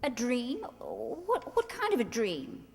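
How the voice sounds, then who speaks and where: A woman playing a flighty, high-strung society bride in a screwball radio comedy, theatrical and full of breathless exclamation. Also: huge pitch swings, slow pace, gravelly, a little mellow, exaggerated voice. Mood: dazed. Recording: echoey hall stage recording.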